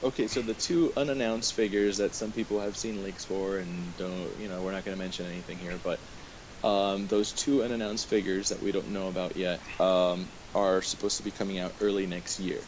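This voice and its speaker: A man hosting a webcast, running through news from his notes in a casual, halting way. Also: low voice, steady tone. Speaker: webcast host. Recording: hissy, noisy online webcast audio.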